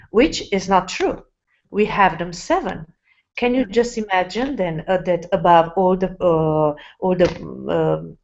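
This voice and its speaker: A woman speaking English as a second language presents to her sales team with eager enthusiasm. She pauses often on fillers and restarts her sentences. Her voice sits low, and the sound is muffled and lo-fi, like an online call. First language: not English. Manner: hesitant, many fillers and restarts. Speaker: network marketing presenter.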